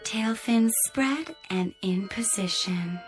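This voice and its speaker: Slow, flirtatious spaceship crewmate. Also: female voice, on the high side, faint music behind. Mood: flirtatious, teasing. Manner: teasing, languid, coy. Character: flirtatious, loyal female spaceship crew member. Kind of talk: radio drama acting.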